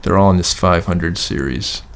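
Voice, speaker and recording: A man in a casual, halting chat about old records, as a guest record collector. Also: deep voice, creaky.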